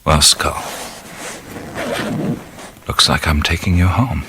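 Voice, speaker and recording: An actor playing a seasoned, wry jungle adventurer in an audio drama, musing and halting with dry humor. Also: male voice, rumbling low, fairly even intonation, very noisy. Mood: reflective.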